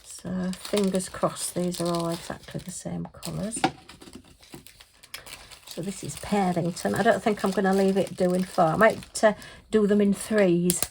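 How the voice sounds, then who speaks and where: A middle-aged woman from England, slightly hoarse from losing her voice, chatting and rambling with fillers while sorting craft supplies at her desk. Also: on the low side, fairly even intonation, measured pace, a little mellow, a little hiss or hum, poor audio. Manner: chatty, rambling, with frequent fillers and light laughs. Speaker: craft vlogger. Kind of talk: casual chat while crafting. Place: home craft room, at a desk. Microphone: overhead camera above the desk, hands only.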